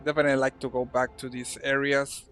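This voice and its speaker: A Colombian man who mainly speaks Spanish, chatting casually and a bit haltingly as he streams a game, often restarting mid-sentence. His voice sits mid-range, with faint music under it. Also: mid-range voice, little pitch movement, fairly bright tone. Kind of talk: casual gameplay commentary.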